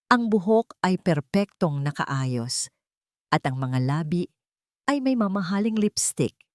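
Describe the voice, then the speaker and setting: A woman's voice: on the low side, very animated, takes it slowly, darkish tone, dry recording, studio quality. A woman with a Filipino accent narrating a scripted dramatic story.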